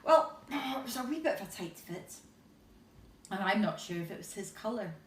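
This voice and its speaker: A woman's voice: mid-range voice, expressive, normal speed. A woman reading a storybook aloud to young children, expressively, with questions and asides to her listeners. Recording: roomy echo, distant from the mic.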